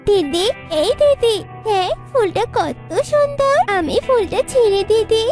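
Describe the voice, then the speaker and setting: Woman as a very high-voiced pleading little bird girl. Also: animated, darkish tone. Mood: delighted. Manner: whining, drawn-out pleading. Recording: music behind the voice.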